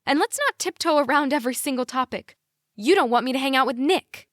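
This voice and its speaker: Woman voicing an indignant teenage girl, raised pitch. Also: lively intonation, brisk, fairly bright tone, dry recording, clean studio sound. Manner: pissy, challenging, emphatic. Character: indignant, headstrong teenage daughter confronting her parents. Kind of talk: audiobook character dialogue.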